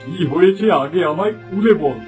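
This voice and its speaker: Village chief for cartoon, male actor: authoritative, exasperated, raised pitch. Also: moderate pace, close to an everyday voice. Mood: stern. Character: stern, fed-up male village headman. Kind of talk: Bengali cartoon dubbing. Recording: music under the voice.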